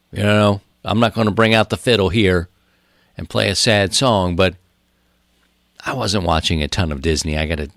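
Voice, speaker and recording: A man in his thirties talking through his podcast in a loose, rambling way, circling back and repeating his points. His voice sits on the low side and comes across dry and close, with no room around it. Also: no echo. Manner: rambling, conversational, repeats phrases for emphasis. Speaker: podcast host. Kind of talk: casual solo podcast talk.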